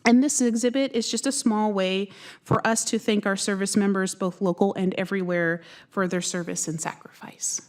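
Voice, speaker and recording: A woman's voice: medium pitch, fairly even intonation, moderate pace. A woman on library staff reporting to a board, hesitant with restarts when answering questions. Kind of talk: public meeting report.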